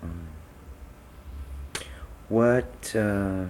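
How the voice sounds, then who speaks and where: A man's voice: lowish voice, drawn-out words, heavy background noise. A man conducting an unscripted interview, feeling his way through questions with hesitant, tentative phrasing.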